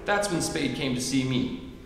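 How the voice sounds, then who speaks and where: An actor playing a patient, deadpan comedian husband in a staged 1940s radio comedy. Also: male voice, mid-range voice, normal speed, natural voice. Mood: wry. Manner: dry, wry deadpan delivery. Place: theatre stage. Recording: echoey theatre, faint hiss.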